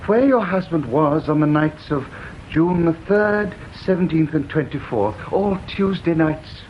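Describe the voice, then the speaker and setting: An actor playing a precise, officious male coroner in a radio drama, speaking formally and deliberately. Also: on the high side, animated. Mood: formal, probing. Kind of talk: BBC radio drama acting, formal questioning and ruling. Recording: dull, slightly noisy radio recording.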